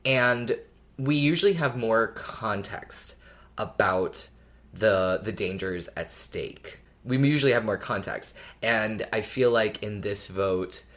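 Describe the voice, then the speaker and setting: A man co-hosting a podcast in casual discussion, reasoning things out in a measured, conciliatory way. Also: lowish voice, a bit bright. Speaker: podcast co-host.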